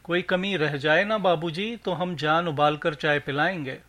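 Man reading as humble tea seller, bright voice. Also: fairly high pitch, a little slow. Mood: eager, affectionate.

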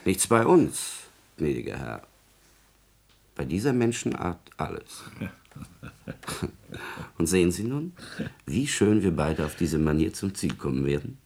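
An actor playing a servile, scheming court secretary in a classical radio drama, insinuating and calculating in a formal, obsequious manner. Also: male voice, low-pitched, very animated, slow, vocal fry, pauses often, a little mellow, full character voice. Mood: smug.

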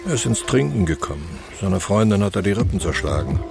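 Deep, gravelly radio-drama narrator. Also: male voice, very animated, quick pace, heavily acted. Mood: grave narration. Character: grave storyteller introducing a fallen man's life. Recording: music behind the voice.

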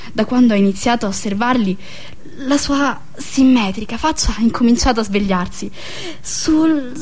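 An actress playing a restless, wry married woman in a passionate radio monologue, with a theatrical, confiding manner. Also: high voice. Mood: wry, observing. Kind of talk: dramatic stream-of-consciousness monologue.

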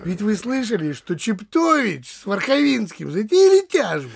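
A man playing a self-important provincial judge and country gentleman in a theatrical comedy audio play, with a drawling delivery. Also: sits high, sing-song, normal speed, creaky, breathy edge, full character voice. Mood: self-satisfied.

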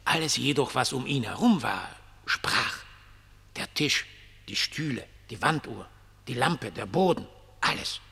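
An actor in a German radio play as a ragged homeless dreamer, mocking, prophetic and pitying, with theatrical, declamatory delivery. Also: male voice, medium pitch, expressive, a little slow, creaky, sharp tone, slightly rough, heavily acted. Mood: dreamy, pitying. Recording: long reverberant hall.

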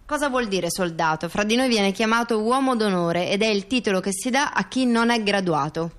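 A woman performing the measured courtroom testimony of a male mafia turncoat in a stage-style radio drama, formal and declarative. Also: medium pitch, natural voice. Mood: matter-of-fact. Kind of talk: theatrical reading of court testimony.

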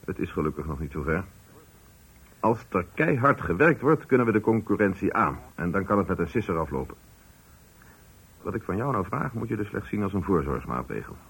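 A low, slightly rough-edged male voice as a company director in a radio drama. He is formal and calculating, talking down to staff in polished, patronising phrases. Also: big pitch swings, fairly quick, fairly bright tone, exaggerated voice, low-quality recording. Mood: cool, calculating. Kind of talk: Dutch radio play acting.

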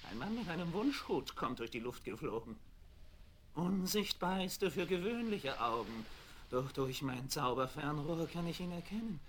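High, theatrical evil sorcerer. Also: male voice, animated, moderate pace, a bit bright. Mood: alarmed. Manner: theatrical, gloating and exclamatory. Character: vain, cackling evil male sorcerer losing his power. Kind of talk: 1970s German children's audio play acting. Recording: vinyl record rip with background noise.

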